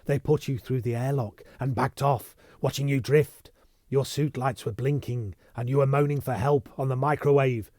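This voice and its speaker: A man's voice: medium pitch, steady tone, moderate pace, darkish tone, no echo, clean studio sound, natural voice. A man voicing a savage, cold, menacing male interrogator in a storybook reading. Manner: savage, pounding.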